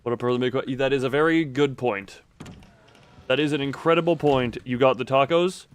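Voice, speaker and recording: A man's voice: medium pitch, moderate pace, clear, resonant tone. A man doing casual live video game commentary, rambling playfully to his viewers and repeating himself as he exclaims.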